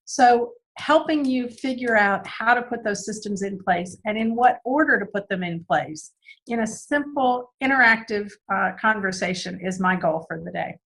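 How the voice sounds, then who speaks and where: A woman guest who is losing her voice, answering interview questions earnestly with frequent "um" pauses. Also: mid-range voice, a bit bright, poor audio. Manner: earnest, many "um" fillers and repeated words. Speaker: guest speaker on business systems.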